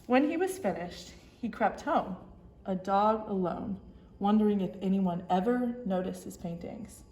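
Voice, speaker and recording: Woman, children's story time reader, expressive narration, mid-range voice, echoey room. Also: medium pitch, unhurried.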